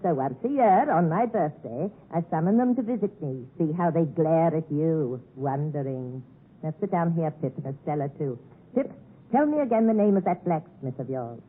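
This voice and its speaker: An actress playing an imperious, eccentric rich old spinster in theatrical, commanding 1950s radio drama style. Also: female voice, deep voice, animated, moderate pace, breathy edge. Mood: grandly mocking. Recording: muffled narrow-band 1950s radio recording.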